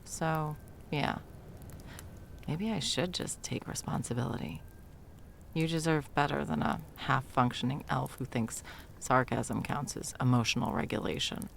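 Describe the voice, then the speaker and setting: A woman playing a sarcastic, self-doubting, hesitant Christmas elf in an intimate ASMR audio roleplay, with a creaky voice. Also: extremely low pitch, a little slow, slight background noise, heavily acted. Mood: soft, rueful.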